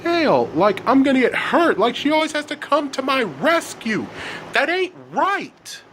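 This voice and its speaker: A man's voice: fairly high pitch, level tone, very slow, a bit bright, a little hiss or hum. A man voicing an angry, defiant schoolboy kickboxer in a story, indignant and insistent, repeating his phrases. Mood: aggrieved.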